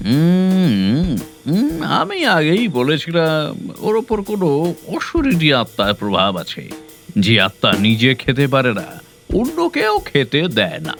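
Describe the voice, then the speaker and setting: An actor playing an eccentric, ghost-obsessed uncle in a comic audio drama, portentous and theatrical. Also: male voice, a little high, huge pitch swings, unhurried, fairly bright tone, faint music behind, heavily acted. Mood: ominous.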